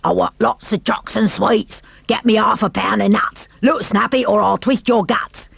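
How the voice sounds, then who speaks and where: A woman voicing a greedy, bossy male creature living in a child's tummy, speaking in a harsh rasping whisper. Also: medium pitch, steady tone, moderate pace, no room sound. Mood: demanding.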